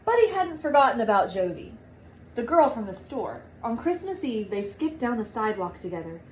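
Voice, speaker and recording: Female storybook reader: higher register, expressive, emphatic phrasing, noisy recording. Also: normal speed. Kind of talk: children's storybook read-aloud.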